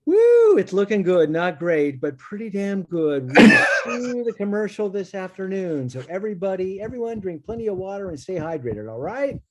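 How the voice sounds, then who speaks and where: A man's voice: high-pitched, big pitch swings, moderate pace, hardly any pauses. A man playing a laid-back reggae-loving cannabis grower with a put-on in a play table read. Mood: upbeat, bossy. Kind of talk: table read of a stage play, half-chanted rap. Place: remote video call.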